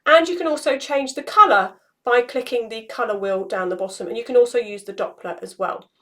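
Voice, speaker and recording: A woman's voice: fairly high pitch, a little fast, a bit bright. A woman around her forties hosting a casual instructional walkthrough, explaining things step by step. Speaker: social media tutorial host. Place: small indoor room.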